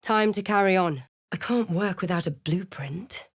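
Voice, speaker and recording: A close, dry recording of a female adventurer from a video game. She is wry and quietly guarded, speaking in a lowish register with measured, understated delivery, as if observing and recounting. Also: breathy edge, dry recording. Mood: calm, reflective. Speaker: video game player character. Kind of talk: scripted game voice lines and past-tense narration.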